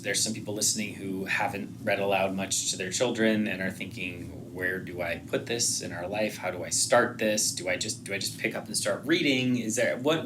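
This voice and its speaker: A man's voice: on the low side, noisy background. A man hosting a podcast and interviewing his guests in a conversational way. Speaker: podcast host.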